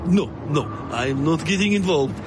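A man's voice: on the high side, expressive, moderate pace, pitched above the performer's own voice, lightly acted. A man voicing an irritable, suspicious man with an emphatic, hissing delivery. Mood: hissed indignation. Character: irritable, suspicious Russian man, refusing to get involved.

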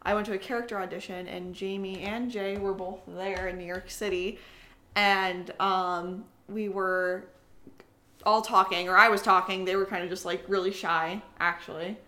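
A woman's voice: medium pitch, steady tone, moderate pace, sharp tone. A chatty woman in her twenties telling stories casually, with frequent giggles. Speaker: special guest on a podcast Q&A. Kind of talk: casual chat and storytelling.

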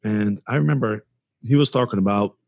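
A man hosts a podcast interview in an easygoing, conversational way. His voice sits somewhat low. He tends to restart his phrases and work through numbers aloud. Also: a little fast, creaky, a little mellow. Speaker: podcast host. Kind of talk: casual interview.

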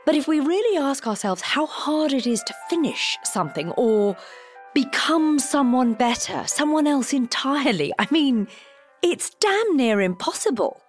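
A sardonic, easily exasperated female crime writer in an audio drama. She speaks slowly with a very expressive, swinging pitch and mutters drily, with faint music underneath. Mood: musing. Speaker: actor playing a writer.

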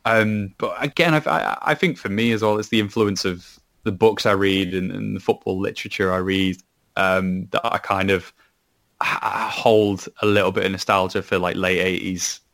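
A man's voice: low-pitched, steady tone, normal speed, vocal fry, a bit bright. A Welsh man, a podcast co-host, chatting casually about football and rambling with many restarts. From Wales. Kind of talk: casual chat.

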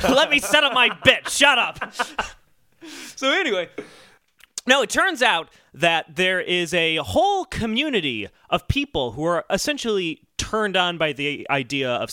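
A man hosting a comedy podcast, bantering casually and sometimes reading a story aloud in an excitable, mock-earnest way. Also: high-pitched, no echo, studio quality.

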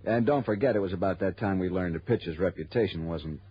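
A man's voice: low-pitched, quick pace, a little hiss or hum, natural voice. An actor playing a businesslike, suspicious insurance office man in a 1960s radio drama, emphatic and explanatory. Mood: suspicious, explaining. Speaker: supporting actor. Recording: dull old radio broadcast recording.

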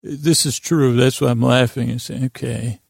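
A man with a very creaky, gravelly voice at a middling pitch rambles as a bedtime podcast host. He stammers, repeats himself and leaves long pauses as he drifts into gentle, whimsical tangents. The sound is close and dry. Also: fairly even intonation, no room sound. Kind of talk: rambling bedtime monologue to lull listeners to sleep.

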